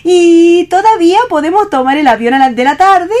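A woman's voice: extremely high pitch, animated, normal speed, a little mellow. A woman playing a passionate, domineering woman adventurer in a radio play reading, with a theatrical, coaxing manner. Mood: urgent, eager.

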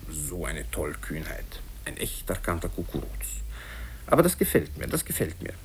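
A man voicing a gleeful, sly provincial governor in a radio story, chuckling and excitable, affectionate in manner. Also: low-pitched, moderate pace. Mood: chuckling delight. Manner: chuckling, excitable, swooping delivery. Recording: hissy old radio recording from cassette.

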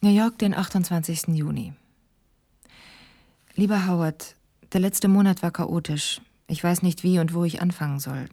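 Sober letter-writer, dark low voice. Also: female voice, normal speed. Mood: grave, restrained. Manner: sober, matter-of-fact. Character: woman reading out her grave, candid letter to a lover. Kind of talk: letter voice-over in radio drama.

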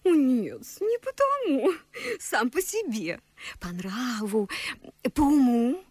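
A woman's voice: high voice, sing-song, slow, darkish tone, husky, no echo, heavily acted. A woman playing a coy, fussing young society wife with an affected cooing manner in a classical radio play. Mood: gushing pride. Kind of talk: classical verse radio drama acting.

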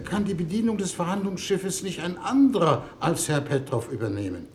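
An actor playing a courteous Earth diplomat chairing negotiations in a 1960s radio drama, polite, procedural and a little hesitant. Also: male voice, high-pitched, very animated, normal speed, slight background noise. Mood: formal, puzzled.

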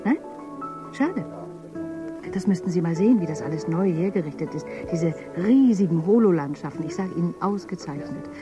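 An actress as a low-voiced, rambling female companion. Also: lively intonation, normal speed, music in the background, lightly acted. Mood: rambling, enthusiastic. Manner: compulsive, rambling talk. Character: distraught, chatty female paid conversation companion in a dystopia. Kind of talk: German radio drama acting.

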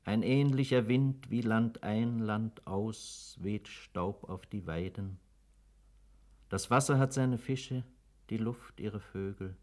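A man reciting verse for a radio play in a solemn, measured manner. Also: fairly low pitch, darkish tone.

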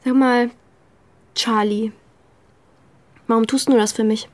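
An actress playing a streetwise, distrustful young runaway haunted by grief, blunt and colloquial, in a German radio drama. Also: female voice, fairly high pitch, fairly even intonation, takes it slowly, no room sound. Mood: wary, blunt.

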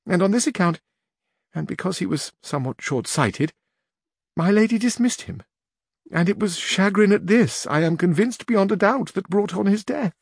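A man's voice: animated, normal speed, gravelly, slightly rough, no room sound, clean studio sound, heavily acted. A man reading the part of an elderly widowed duenna who tells her story at length and turns tearful. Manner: long-winded, earnest, rises higher when weeping. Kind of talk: audiobook narration.

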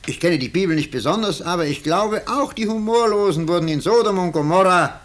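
An actor in a 1950s German radio drama playing a calm, sly arsonist lodger who is courteous, deliberate and matter-of-fact. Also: male voice, sits high. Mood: quietly menacing. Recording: faintly noisy 1950s radio recording.

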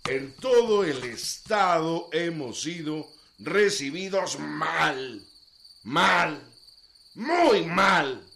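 An actor playing a stern, self-righteous army general in a radio drama, declaiming with emphatic pauses. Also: male voice, fairly high pitch, expressive, vocal fry, noisy background, heavily acted. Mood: stern, proud.

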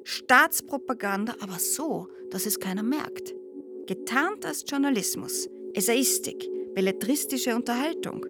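A woman essayist in a satirical radio play, her voice fairly high and set over clearly audible music. She argues with wry irony and pointed rhetorical phrasing, like a lecturer dissecting a nation. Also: animated, a little slow. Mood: wry mockery. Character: eloquent, ironic woman lecturing on Prussia's national psyche.

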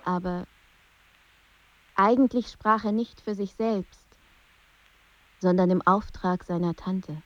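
An actress playing a sober, restrained narrator in a literary radio play, speaking deliberately. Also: female voice, mid-range voice, steady tone, no room sound, highs cut off, lightly acted. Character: sober female narrator announcing chapters of a literary life.

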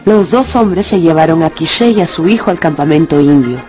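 A woman's voice: low voice, lively intonation, a little fast, a little mellow. A woman narrating a dramatised story for radio from a script. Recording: music under the voice.

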